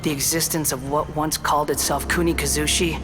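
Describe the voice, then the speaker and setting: A man's voice: a little high, fairly even intonation, fairly quick, loud music behind the voice, lightly acted. A man playing an arrogant young puppet turned god, a mocking and theatrical video game villain. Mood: grandiose, disdainful. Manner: haughty, theatrical, contemptuous.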